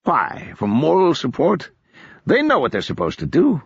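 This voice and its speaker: A man voicing a drunken, foppish male officer, hungover and carefree, in a husky voice. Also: a little high, wildly swooping pitch, moderate pace, dry recording, dull audio, voice pushed up, heavily acted. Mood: dismissive.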